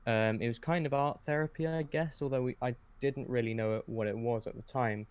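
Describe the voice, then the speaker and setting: A man's voice: medium pitch, steady tone, a little fast, a little mellow, smooth, dry recording. A man chatting casually as a podcast guest, hesitant and often correcting himself as he goes. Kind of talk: casual conversation.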